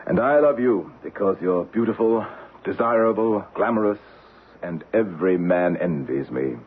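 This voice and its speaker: An American actor playing a proud, combative middle-aged financier in an old-time radio drama, with clipped, argumentative delivery. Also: male voice, low-pitched, lively intonation, moderate pace. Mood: grim. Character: proud, embattled male Wall Street financier, combative and cynical. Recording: muffled, noisy old radio broadcast.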